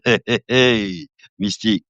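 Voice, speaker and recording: Deep-voiced man in his fifties, chatting live. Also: slow pace, dry recording. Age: 50s. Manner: chatty, addresses viewers as brother; laughing often, relaxed rambling. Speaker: live-stream host. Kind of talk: casual live-stream chat. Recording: dull lo-fi dry sound.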